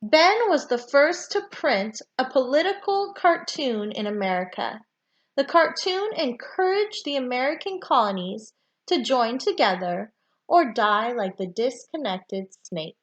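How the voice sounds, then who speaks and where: A woman reading a children's nonfiction book aloud with deliberate, emphatic phrasing for young listeners. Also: high voice, sing-song, dull audio. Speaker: read-aloud storyteller.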